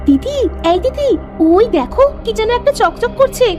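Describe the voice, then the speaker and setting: Woman voicing an eager, high-pitched young village wife. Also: sing-song, normal speed, music in the background. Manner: eager, addresses her elder as sister. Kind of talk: Bengali moral-story cartoon dialogue.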